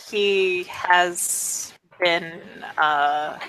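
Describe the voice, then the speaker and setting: A woman's voice: on the low side, very slow, sharp tone, a little hiss or hum, low-quality recording. A woman playing a tabletop game, chatting bluntly in character with swearing and lots of fillers. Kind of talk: casual in-character roleplay chat.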